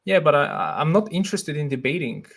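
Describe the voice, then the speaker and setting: A young Slovak man with a Slovak accent, hosting a casual livestream, reading comments and thinking aloud in a relaxed way with frequent laughs. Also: on the high side, quick pace, creaky. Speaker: livestream host.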